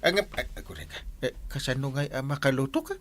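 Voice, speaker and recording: A man playing a flustered, excitable village captain in a comedy drama, with an Ilocano accent and hesitant, sputtering exclamations. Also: mid-range voice, fast, no room sound, close to an everyday voice. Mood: grumbling, evasive. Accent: Ilocano, Philippines. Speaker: barangay captain character.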